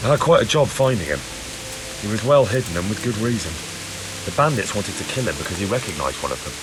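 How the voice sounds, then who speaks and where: Casting: male actor as young village-born soldier in a game drama, low-voiced, sincere, clear music underneath. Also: animated, quick pace, close to an everyday voice, poor audio. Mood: earnest, reporting. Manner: earnest, explaining. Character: earnest young peasant man-at-arms, respectful and plain-spoken. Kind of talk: video game cutscene acting.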